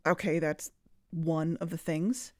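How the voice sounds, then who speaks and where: A woman in scripted audio drama dialogue, earnest and worried, sometimes rushing her words. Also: deep voice, fairly even intonation, a little mellow, no room sound, clean studio sound. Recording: music bed underneath.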